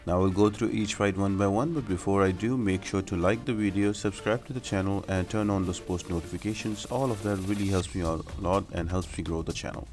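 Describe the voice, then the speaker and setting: A man's voice: low voice, steady tone, fairly quick, few pauses, a little mellow. A man narrating a video game walkthrough in a casual, step-by-step explanatory way, like a gaming YouTuber talking to viewers. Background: music under the voice.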